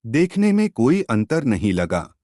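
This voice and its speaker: A man narrating a scripted folk tale as a storyteller, in a measured, expressive audiobook manner. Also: mid-range voice, no echo, clean studio sound.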